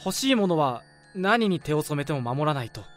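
Guy doing a creepy polite junior coworker, voice jumps all over, soft music underneath. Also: on the high side, very animated, a little fast. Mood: sinister. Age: young adult. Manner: polite then mocking, coolly sinister. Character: sly young male coworker who talks about murder. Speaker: junior office worker. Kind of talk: radio drama acting.

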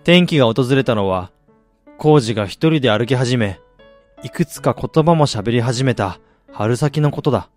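A man acting in a radio drama as a driven businessman and husband, telling his life story in a measured, reflective way. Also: mid-range voice, lively intonation, darkish tone, natural voice. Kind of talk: radio drama monologue, literary narration. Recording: music bed behind the voice.

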